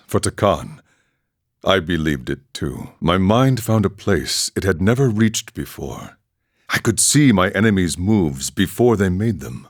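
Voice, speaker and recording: A man voicing a weathered, philosophical warrior monk and former chieftain in a video game, telling his tale in a measured, solemn, reflective way. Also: low-pitched, dry recording, clean studio sound. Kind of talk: scripted dramatic storytelling monologue.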